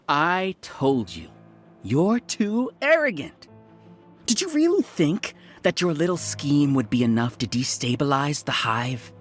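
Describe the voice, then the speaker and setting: Grandiose, contemptuous scholar villain. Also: male voice, a little high. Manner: grandiose, contemptuous, measured menace. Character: arrogant scholarly villain, overmind of a hive collective. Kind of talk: video game voice acting. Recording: background music under dialogue.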